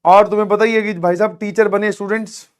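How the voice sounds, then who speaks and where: A male coaching teacher with a hoarse, strained throat, giving motivational advice to students in an online class, coaxing them along, saying please and checking that they follow. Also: high voice, steady tone, fast talker.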